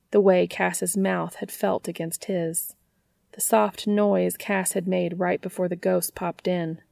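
Low, creaky-voiced woman reading fiction. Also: warm tone, no room sound, studio quality. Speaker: audiobook narrator. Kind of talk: scripted fiction narration.

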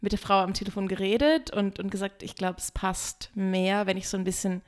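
A woman telling personal stories in a casual podcast chat, giggly and quick to act out what people said. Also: mid-range voice. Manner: giggly, animated storyteller who acts out quotes. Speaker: podcast co-host and stripper.

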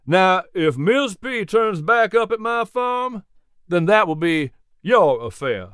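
A man's voice: high voice, very animated, slow, a bit bright, clear, resonant tone, no room sound, studio quality, voice pushed up, exaggerated voice. A man playing a genial, teasing older horse owner with a folksy, emphatic way of talking in an audiobook story. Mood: playful. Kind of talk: audiobook character dialogue.

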